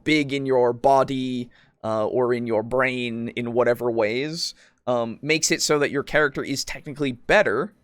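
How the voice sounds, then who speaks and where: Guy cohosting a gaming podcast, mid pitch, dry close sound, rambly and stop-start, swears a lot. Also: fairly even intonation, normal speed, no room sound. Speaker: podcast co-host. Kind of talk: casual discussion of tabletop roleplaying.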